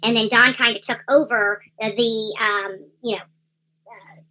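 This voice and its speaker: Bright-voiced woman chatting on a livestream. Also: a little high, fairly even intonation, a little slow, rough recording. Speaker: livestream host. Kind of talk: casual livestream chat, true crime commentary.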